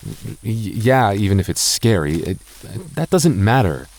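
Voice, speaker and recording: A man voicing a gentle, shy goth boyfriend in an ASMR roleplay, soft-spoken and stammering as he reassures his listener. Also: lowish voice, expressive, measured pace, creaky. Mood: relieved. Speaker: voice actor.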